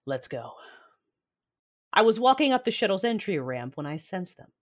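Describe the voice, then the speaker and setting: A woman playing a hardened, guarded warrior mother who narrates an audio drama in a terse, measured way. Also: low voice.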